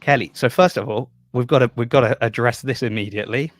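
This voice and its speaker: An upbeat man hosts a relaxed fitness chat, keeping things light and poking fun at his guests. His voice sits in a middle register. It is recorded close and dry, with no room sound. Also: mid-range voice, quick pace, no echo. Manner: upbeat, playful and teasing. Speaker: podcast host. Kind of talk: casual interview chat.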